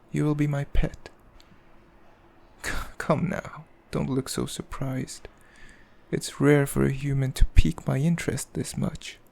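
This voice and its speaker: A man playing a cruel, possessive demon king in an ASMR roleplay, speaking in a haughty, mocking, measured way with a gravelly voice. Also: medium pitch, darkish tone. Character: cruel, possessive male demon king, coldly amused, condescending. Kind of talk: ASMR roleplay, slow intimate villain monologue.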